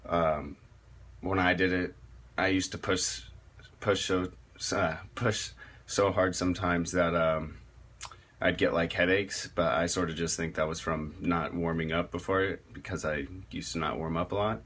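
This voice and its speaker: Slow, deep-voiced man explaining vocal technique. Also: little pitch movement, fairly bright tone, slight background noise. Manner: rambling and halting, many fillers and restarts. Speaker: metal vocalist teaching screaming technique. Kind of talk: casual spoken tutorial.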